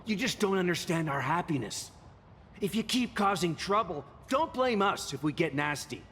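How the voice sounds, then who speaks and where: A man's voice: high-pitched, expressive, moderate pace, a bit bright, slight background noise. An actor playing a rude male protester in a game, whose polite manner turns scornful and threatening. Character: rude adult male local protester, hostile. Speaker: game character. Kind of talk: game voice acting. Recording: reverberant game audio with music.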